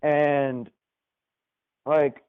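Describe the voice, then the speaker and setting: A man's voice: a little high, flat delivery, drawn-out words, clear, resonant tone. A man calling into a gaming livestream, chatting and reminiscing casually over a live call. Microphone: live call into the stream. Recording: tinny, band-limited phone-like call.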